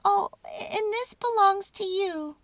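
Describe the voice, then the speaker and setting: A woman voicing a tiny, excitable, tender-hearted male mouse with a squeaky, expressive voice in a children's story. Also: slow, no room sound, exaggerated voice.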